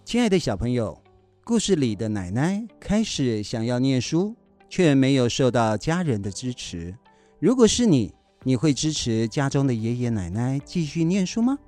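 Mellow, animated man addressing children. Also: mid-range voice, moderate pace, faint music behind. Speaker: school principal presenting the closing remarks.

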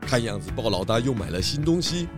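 A man's voice: mid-range voice, sing-song, brisk, over music, close to an everyday voice. A man playing a confident, polite pig detective in a children's audio drama. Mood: assured.

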